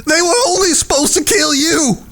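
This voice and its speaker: A man playing a desperate, lovesick, cowardly villain in a Western audio drama, pleading in a halting, whimpering stammer. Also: very high voice, a bit bright, slight background noise.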